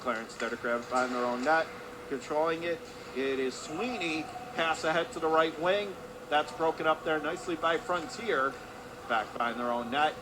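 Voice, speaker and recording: A man's voice: on the high side, moderate pace. A man calling a hockey game live for radio as play-by-play announcer, in clipped running phrases that lift with the action. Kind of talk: live radio sports commentary. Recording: clear background noise of an ice rink.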